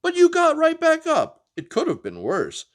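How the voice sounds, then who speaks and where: A man's voice: very high voice, wildly swooping pitch, moderate pace, pitched above the performer's own voice, full character voice. A man voicing an upbeat young dinosaur friend in a children's storybook, cheerfully reasoning and reassuring in rhyme.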